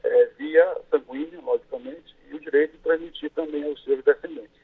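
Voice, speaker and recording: A man's voice: fairly high pitch, steady tone, breathy edge. Brazilian man on a tinny phone line. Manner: measured, explains step by step with fillers. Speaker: lawyer, interviewed guest. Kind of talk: explanatory interview answers.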